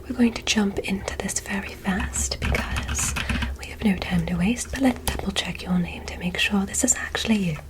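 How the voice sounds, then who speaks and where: A woman playing a polite, curious female alien medical examiner in an ASMR roleplay, in a soft breathy whisper with formal, stilted phrasing. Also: mid-range voice, natural voice. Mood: courteous, curious. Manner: formal, oddly stilted, deliberate, reassuring.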